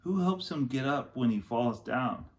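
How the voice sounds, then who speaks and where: A man's voice: medium pitch, expressive, measured pace, voice pushed up, close to an everyday voice. A man voicing an eager, imaginative young girl in a storybook read-aloud. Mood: curious.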